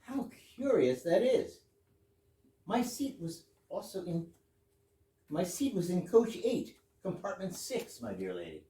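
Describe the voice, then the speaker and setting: A formal, puzzled gentleman husband from an absurdist stage comedy, played by a man, with a mellow voice that swings widely in pitch. He is deliberate and mock-astonished, heard from a distance in a roomy space. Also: big pitch swings. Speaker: amateur readers theater actor. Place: live stage reading in a room. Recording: roomy, distant mic with clear noise.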